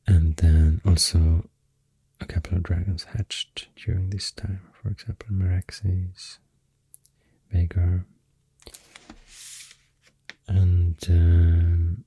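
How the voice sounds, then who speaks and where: Deep, breathy Swedish-accented man, near whisper. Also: warm tone, no room sound. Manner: hesitant, unscripted, unhurried. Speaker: ASMR creator. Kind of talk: soft-spoken ASMR rambling explanation. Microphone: close-up ASMR microphone.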